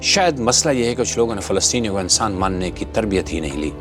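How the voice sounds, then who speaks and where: A man with a fairly low voice presents scripted commentary as a channel host. His delivery is rhetorical and dramatic with a sardonic edge. Music plays faintly behind him. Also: expressive, fast. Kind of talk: scripted opinionated political commentary. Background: background music.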